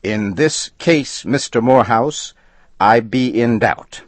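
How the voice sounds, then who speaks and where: A man's voice: medium pitch, slow, no echo, voice pushed up, natural voice. A man voicing a stubborn, rule-bound male express agent who talks in a folksy dialect.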